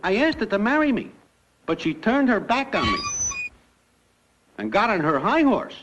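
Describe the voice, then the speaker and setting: A man's voice: high-pitched, big pitch swings, moderate pace, very noisy. An American actor playing a brash young newcomer to the afterlife, breezily telling his life story in slang. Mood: matter-of-fact, jaunty. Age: young adult. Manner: breezy, wisecracking, packed with idioms. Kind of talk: classic cartoon comic narration. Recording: dull lo-fi cartoon soundtrack, loud music.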